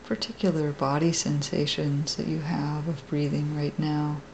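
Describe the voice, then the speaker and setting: A woman meditation teacher leading a guided meditation in a gentle, soft, creaky, unhurried voice with long pauses. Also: low-pitched, level tone, background noise.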